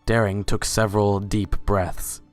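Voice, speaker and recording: Low-voiced man narrating a story. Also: level tone, measured pace, soft background music. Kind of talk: scripted dramatic story narration.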